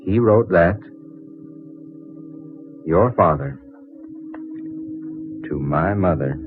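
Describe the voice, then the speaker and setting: An American actor playing an astonished, excitable man in a 1940s radio drama, with a husky voice and dramatic delivery. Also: low-pitched, drawn-out words, music in the background, exaggerated voice. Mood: solemn. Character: excited, astonished man making an attic discovery. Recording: tinny, dry vintage radio recording.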